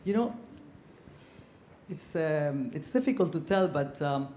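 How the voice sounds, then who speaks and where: An older woman from Ecuador speaking on a conference panel, telling her story earnestly with frequent pauses and ums. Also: deep voice, a little hiss or hum. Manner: earnest, reflective, frequent ums, growing animated. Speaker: panelist, former ambassador. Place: conference hall. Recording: echoey conference hall.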